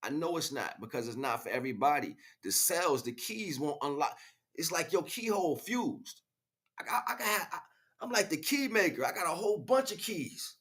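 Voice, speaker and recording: An American man with a medium-pitched voice talks off the cuff as a spiritual livestream host. His delivery is preachy and full of slang. He repeats phrases to drive points home, addressing the listener directly. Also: a bit bright. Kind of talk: impromptu spiritual monologue to listeners.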